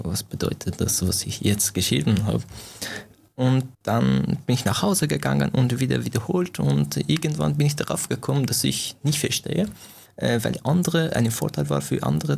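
Gravelly young man. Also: medium pitch, darkish tone. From Iran. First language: Farsi. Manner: halting, searching for words, frequent restarts. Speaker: interviewee. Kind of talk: casual interview answers.

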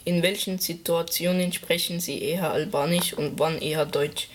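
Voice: medium pitch, fairly even intonation, moderate pace. Polite, formal interviewer. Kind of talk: asking interview questions.

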